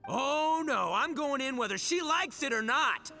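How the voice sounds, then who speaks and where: Loud, high-pitched, brash young gang boss. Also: male voice, gravelly, heavily acted. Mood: cocky, goading. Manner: cocky, blustering, theatrical.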